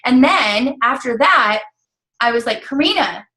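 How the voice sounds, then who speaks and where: Woman, casual recruiting talk, upbeat, lots of ums, fairly high voice. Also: expressive, a little slow, pushed and bright, poor audio. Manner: upbeat, explanatory, many fillers. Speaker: network marketing coach.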